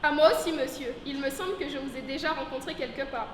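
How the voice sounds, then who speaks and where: A woman's voice: high voice, fast, natural voice. A young woman playing a prim English lady. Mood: formal. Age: young adult. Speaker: drama student. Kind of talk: student theatre rehearsal. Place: large echoey school room. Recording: echoey hall from far off, noisy.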